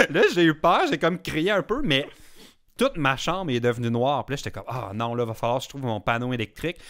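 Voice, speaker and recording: Animated Quebec French storyteller, a man. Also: medium pitch, normal speed. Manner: animated storyteller, laughs often. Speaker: podcast host. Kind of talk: casual podcast storytelling.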